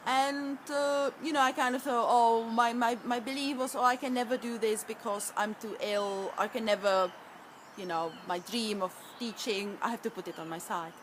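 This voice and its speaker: A woman speaks in a soft, soothing and encouraging way as she guides a tapping session. Her voice sits a little high. A faint hiss runs under the recording. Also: fairly even intonation, moderate pace, low-quality recording. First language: Czech. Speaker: EFT tapping practitioner.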